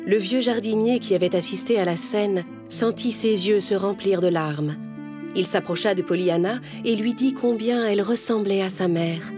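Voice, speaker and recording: A woman storyteller reading a scripted children's tale as narration. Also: medium pitch.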